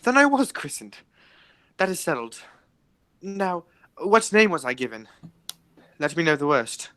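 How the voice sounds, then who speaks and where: Woman playing an earnest young gentleman, close dry sound. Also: on the low side, very animated, a little slow, no echo. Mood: anxious, insistent. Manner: formal, theatrical. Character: earnest, excitable young gentleman seeking his origins. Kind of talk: amateur radio play of period comedy.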